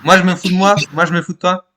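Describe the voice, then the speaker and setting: A man's voice: high voice, level tone, fast, pushed and bright. A young man chatting casually in an online game voice chat, brash and full of slang and swearing. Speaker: online roleplay game player. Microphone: online voice chat microphone.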